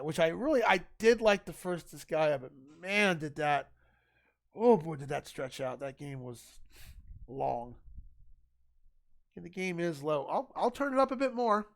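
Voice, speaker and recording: A man streaming a video game, talking in a loud, rambling, chatty way to his viewers. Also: on the high side, gravelly. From Italian family. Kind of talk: casual gaming livestream chat.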